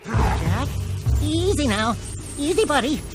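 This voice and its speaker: A cocky little video game critter, with a high, scratchy voice that leaps all over the place. He cracks sarcastic jokes in an excitable, exaggerated way. Game music plays behind him. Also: exaggerated voice. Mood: hushed, nervous. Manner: fast-mouthed, sarcastic, exaggerated. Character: cocky, wisecracking small male animal sidekick, excitable. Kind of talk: animated video game acting.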